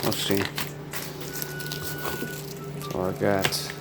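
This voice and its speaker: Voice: deep voice, completely flat delivery, very slow, creaky. A person narrating an unboxing in a casual, laid-back, informal way. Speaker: unboxing video host. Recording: loud music behind the voice.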